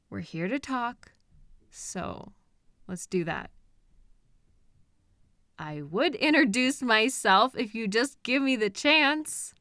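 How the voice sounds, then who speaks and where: A possessive, delusional female kidnapper played by a woman speaks very slowly in a bright, close and dry voice. She coaxes and soothes with condescending patience, pausing hesitantly as in an intimate roleplay audio drama. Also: medium pitch, dry recording, exaggerated voice. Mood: patient, scolding. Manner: slow, coaxing, patient, condescending, hesitant pauses.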